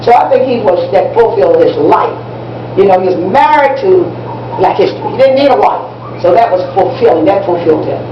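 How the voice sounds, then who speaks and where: A woman's voice: medium pitch, expressive, fairly quick, close to an everyday voice. A woman playing an enthusiastic teacher in a live amateur stage play, instructing students in an encouraging way and repeating herself for emphasis. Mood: thoughtful, affirming. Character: enthusiastic, proud woman teacher briefing young students on history. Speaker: teacher in a one-act play. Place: library event room, recorded from audience distance. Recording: distant, muffled, noisy echoey room recording.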